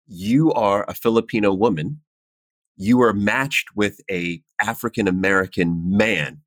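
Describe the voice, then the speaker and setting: A man's voice: lowish voice, a little slow, dry recording. A man interviewing a guest, affirming her answers and stammering into his questions.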